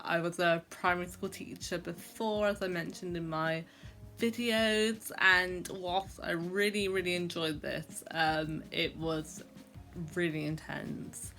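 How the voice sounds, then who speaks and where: Young woman vlogging, stammers on words, talks slow and kinda low, lots of ums. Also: a bit bright. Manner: stammers, with repeated sounds and blocks; reflective, many fillers. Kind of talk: personal vlog talk to camera.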